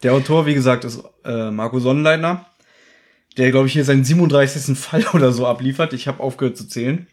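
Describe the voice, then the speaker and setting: A man hosting a casual podcast chat, teasing his co-host and telling stories in an animated way. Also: mid-range voice, fast talker.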